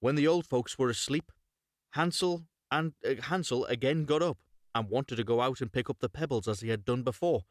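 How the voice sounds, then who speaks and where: A man narrating a storybook live and unrehearsed, in a measured storytelling manner with occasional stumbles. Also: medium pitch, dry recording, clean studio sound. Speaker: storyteller, voice-over reader.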